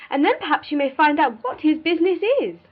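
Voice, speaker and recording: A woman voicing a nosy, gossipy older matron who teases and pries in an excitable way. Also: sits high, fast talker, lo-fi sound, pitched above the performer's own voice. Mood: teasing, prying. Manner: prying, teasing, excitable.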